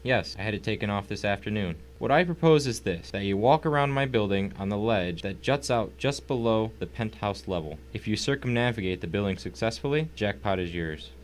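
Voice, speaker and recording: A man's voice: on the low side, expressive, a little fast, hardly any pauses, slight background noise, natural voice. A man playing a smug, wealthy, cultured villain in an audio drama, speaking in a pompous, formal, mocking way. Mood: coolly persuasive. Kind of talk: dramatic audio story acting.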